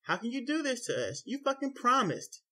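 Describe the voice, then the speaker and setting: A man playing a frustrated husband in a spoken story, speaking low and tight. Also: moderate pace, a bit bright, breathy edge. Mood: stern. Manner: low and tight, dropping to near whisper. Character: frustrated husband, stern and pleading.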